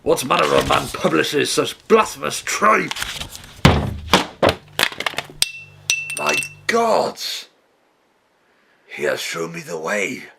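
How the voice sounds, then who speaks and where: Radio drama captive father, played by a man: pious, earnest, very gravelly, slow swinging delivery, faint noise. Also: on the high side, very animated, bright, forceful tone, full character voice. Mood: horrified. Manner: earnest, melodramatic, horrified exclamations. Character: devout, anguished father held captive, righteous and determined. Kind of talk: old-time radio play acting.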